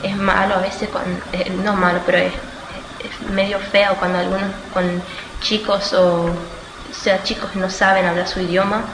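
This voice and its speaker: An eighteen-year-old woman from Australia answers interview questions informally, haltingly searching for words and correcting herself. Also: mid-range voice, flat delivery. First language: Spanish. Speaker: student being interviewed. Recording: echoey room with clear background noise.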